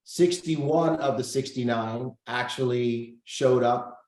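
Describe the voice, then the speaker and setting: A man's voice: mid-range voice, takes it slowly, darkish tone, echoey room, low-quality recording. A man hosting a casual group coaching call over video, upbeat, thinking aloud and reading out figures. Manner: upbeat, reads out numbers, thinks aloud while clicking.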